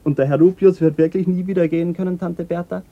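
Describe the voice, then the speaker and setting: Cheeky, higher-voiced schoolboy. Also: male voice, steady tone, quick pace, muffled recording. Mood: eager. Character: eager, cheeky teenage schoolboy nephew. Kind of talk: radio drama acting.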